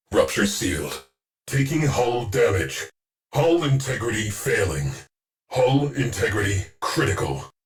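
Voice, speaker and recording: A low, deadpan ship AI. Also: male voice. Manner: clipped, deadpan, commanding. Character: terse, stern male shipboard AI, dry military efficiency. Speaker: ship AI giving mission alerts. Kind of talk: scripted game voice lines, clipped announcements.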